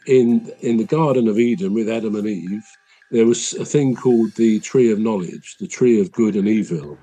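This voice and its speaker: A man with a naturally deep voice hosts a relaxed podcast chat. His tone is low and mellow, and he explains things patiently with frequent ums and uhs. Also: poor audio. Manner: measured, explains at length with many um and uh. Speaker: podcast host.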